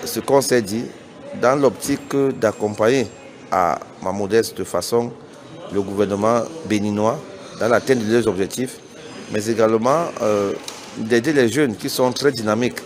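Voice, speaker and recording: Middle-aged male executive from Cameroon, Bassa native; persuasive, halting press statement; slightly low register. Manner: earnest, persuasive, with frequent hesitations and restarts. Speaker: business founder giving a press statement. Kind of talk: spontaneous spoken statement to reporters.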